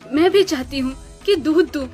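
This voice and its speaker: A woman voicing a gentle eldest sister cow in a cartoon story, hurt and pleading. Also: sits high, normal speed, natural voice. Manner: pleading, wounded. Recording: music behind the voice.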